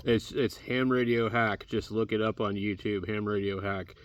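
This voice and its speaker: American guy doing a casual ham radio vlog outside, deep-ish flat voice, noisy backyard audio. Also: normal speed, dull audio. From Arizona, United States. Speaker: amateur radio operator and video host. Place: outdoor backyard. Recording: noisy outdoor recording with radio static.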